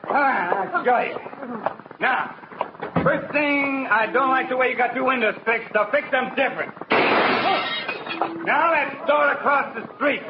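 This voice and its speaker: Swaggering, menacing gangster bully, noisy recording. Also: male voice, extremely high pitch, unhurried, long pauses, lo-fi sound. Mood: crazed boasting. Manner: boastful, belligerent, shouting threats. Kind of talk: 1940s radio crime acting. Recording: noisy old radio broadcast, street commotion.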